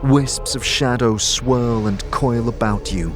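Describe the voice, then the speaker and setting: A man's voice: mid-range voice, slow. A man delivering dramatic, scripted fantasy narration as the narrator of an audio drama. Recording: loud music behind the narration.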